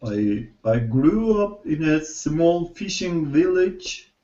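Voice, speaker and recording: A man learning English who speaks very slowly and carefully on a video call. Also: a little high, very animated, mellow, lo-fi sound. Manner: very slow, careful, deliberate. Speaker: language student.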